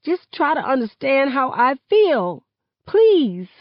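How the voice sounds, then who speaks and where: An impassioned teenage girl, played by a woman reading an audiobook, grieving and angry. She speaks slowly and rawly, in a slightly muffled, roomy recording. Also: medium pitch, animated. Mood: furious, pleading. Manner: raw, impassioned, sweary. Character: grieving, furious teenage girl who tells the story. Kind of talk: first-person audiobook narration.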